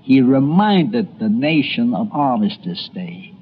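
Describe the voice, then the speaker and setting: A male documentary host narrates from a script with folksy, dramatic flair. His voice sits at a middle pitch. The recording is a dull old tape with faint noise under it. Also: big pitch swings, takes it slowly. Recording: muffled, noisy old tape.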